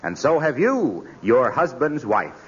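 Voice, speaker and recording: An actor playing an earnest, genial announcer neighbor in a 1940s radio comedy. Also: male voice, mid-range voice, big pitch swings, measured pace, very noisy. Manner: earnest, genial, sing-song reading. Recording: muffled 1940s radio broadcast.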